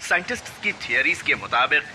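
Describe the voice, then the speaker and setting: A man's voice: a little high, sing-song, fast, sharp tone, slight background noise, heavily acted. A man voicing a television news anchor in a dubbed cartoon, reading the news formally. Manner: formal newsreading.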